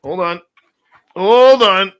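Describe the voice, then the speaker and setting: A man's voice: sits high, slow pace. A man hosting a radio show, joking and bantering casually with guests. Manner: joking, playful, animated.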